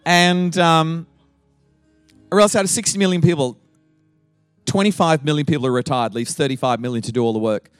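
Joking Australian pastor with laughter. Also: male voice, a little high, fairly even intonation, brisk, long pauses, clear, resonant tone, no room sound, faint music behind. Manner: playful, teasing, laughs often. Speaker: guest pastor. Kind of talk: joking sermon opening.

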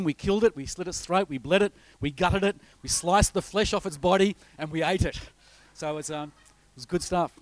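Chatty Australian visiting pastor, dry sound. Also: male voice, on the high side, dry recording. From north coast of New South Wales, Australia. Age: middle-aged. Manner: chatty, rambling, frequent uh fillers and restarts. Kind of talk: informal sermon introduction with slides.

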